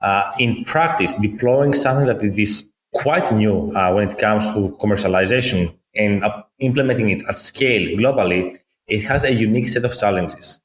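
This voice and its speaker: A man in his thirties from Athens with a Greek accent, a guest explaining things in a measured way, point by point. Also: lowish voice. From Greece, Athens. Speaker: guest, company founder. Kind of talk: conversational interview. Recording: roomy, echoey room.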